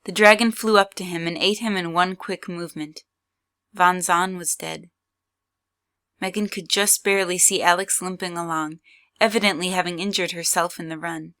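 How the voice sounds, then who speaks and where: A woman's voice: fairly low pitch, steady tone, normal speed, bright, forceful tone. A woman reading scripted third-person story narration as the narrator of an audio drama.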